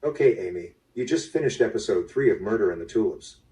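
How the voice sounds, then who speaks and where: Male narrator, played by an actor guiding a murder mystery: mellow, on the low side, measured, slightly distant. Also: expressive, close to an everyday voice. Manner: measured, addresses listener directly. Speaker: narrator and host. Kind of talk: scripted interactive drama narration.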